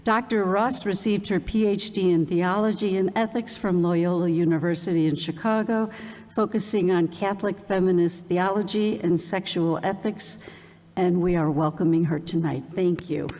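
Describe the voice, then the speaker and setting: A woman hosting an event, reading an introduction slowly and deliberately with ums. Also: lowish voice, steady tone, a little mellow. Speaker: event host introducing the speaker. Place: parish hall or church. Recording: long reverb of a church hall.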